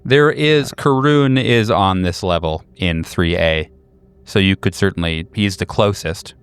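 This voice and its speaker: Deep, smooth-voiced male game master. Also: no room sound. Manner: measured, descriptive storytelling. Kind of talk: narrating a tabletop horror game.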